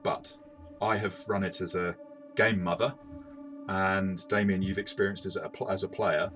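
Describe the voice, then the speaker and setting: A man with a fairly low, bright-edged voice chats through a role-playing game review as co-host. His delivery is casual and halting, with frequent false starts. Music plays quietly behind him. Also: steady tone.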